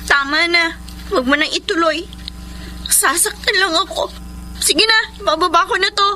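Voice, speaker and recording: Feisty young woman, high sharp voice, plaintive, emotional radio drama, faint noise. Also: normal speed, low-quality recording. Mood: hurt, pleading. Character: lovesick, jealous young woman, hurt and feisty. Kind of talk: Filipino radio drama acting.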